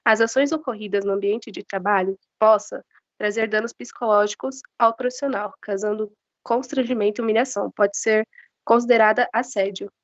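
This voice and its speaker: A woman's voice: mid-range voice, steady tone, moderate pace, no echo. Brazilian woman, student presenter, voice off from flu. Mid-range, semi-read delivery, very dry, slightly muffled recording. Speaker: student giving a safety talk. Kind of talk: informal class presentation, partly read. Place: online video class.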